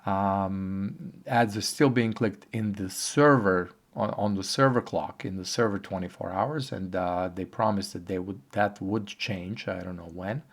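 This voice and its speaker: Low-voiced hoarse man, halting update. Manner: halting, rambling, filler-heavy. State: hoarse from a cold. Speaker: online earnings vlogger. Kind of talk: casual talking-head update.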